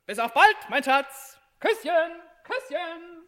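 An actress playing a gushing, bossy sweetheart in a 1960 German radio satire. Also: female voice, very high-pitched, sing-song, takes it slowly, sharp tone, exaggerated voice. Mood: gushing, brisk.

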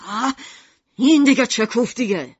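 A woman's voice: deep voice, lively intonation, slightly rough, lo-fi sound. Woman playing a brusque, grumpy male shopkeeper. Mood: furious. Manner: brusque, sales patter turning to bellowing. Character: grumpy, hot-tempered male poison-shop keeper, a father.

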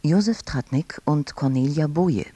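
A woman with a dark, husky voice reading credits as a formal radio announcement. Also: sits low, measured pace, no echo.

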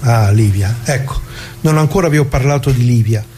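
An actor playing an anguished, plain-spoken ordinary man who muses haltingly and repeats himself in a theatrical radio monologue. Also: medium pitch, warm tone, faint music behind, natural voice. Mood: sorrowful, confiding. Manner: halting, musing, rhetorical questions, repeats himself.

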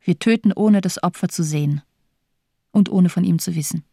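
A woman's voice: fairly low pitch, normal speed, creaky, dark tone, no room sound, studio quality. An actress playing a bitter, cynical doctor in her thirties in a radio drama, speaking in declamatory, rhetorical phrases. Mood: despairing. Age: 30s. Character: bitter, cynical morphine-addicted woman doctor of 34.

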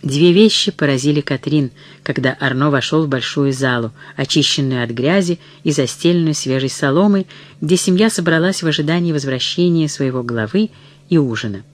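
A narrator giving a measured, even reading of a historical novel as an audiobook. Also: medium pitch.

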